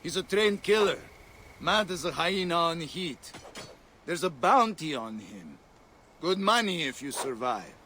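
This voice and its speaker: An actor playing a haughty, sly and commanding arena boss in a video game. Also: male voice, a little high, sing-song, a little slow, sharp tone, slight background noise, exaggerated voice. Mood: sly, challenging. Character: haughty male arena boss who hires fighters. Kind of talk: video game cutscene acting. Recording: faint music behind the voice.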